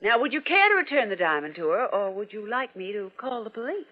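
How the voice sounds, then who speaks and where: A woman's voice: medium pitch, sing-song, fast, lightly acted. An actress playing a brash, impatient, sardonic young woman reporter in an old radio drama. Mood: bold, accusing. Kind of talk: 1950s radio drama acting. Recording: muffled vintage radio recording.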